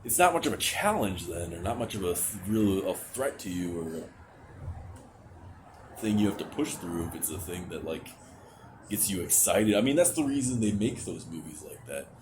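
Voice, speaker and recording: A young man with a very low, deep voice talks straight to his camera, hesitant and rambling. He sounds anxious and sighs often, with casual swearing. A steady hiss sits under the recording. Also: moderate pace. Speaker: vlogger. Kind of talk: candid venting to camera. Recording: noticeable background hiss.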